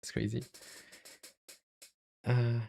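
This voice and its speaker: Slow, halting young Singaporean man. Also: medium pitch, monotone, vocal fry, dark tone. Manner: halting, self-deprecating, deliberately slowed. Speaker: YouTuber and live streamer. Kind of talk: casual livestream chat. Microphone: cheap USB microphone.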